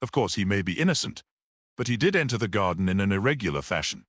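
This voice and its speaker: A man's voice: fairly low pitch, big pitch swings, fast, a bit bright, no room sound. Male narrator playing sceptical detective, businesslike, abrupt, varied intonation, dry close sound, mystery audiobook. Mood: cautious.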